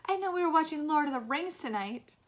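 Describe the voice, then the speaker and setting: Woman playing a jeering, loud teenage bully boy. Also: high voice, expressive, a little fast, pitched above the performer's own voice. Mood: mocking shock. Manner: jeering, shouted exclamations.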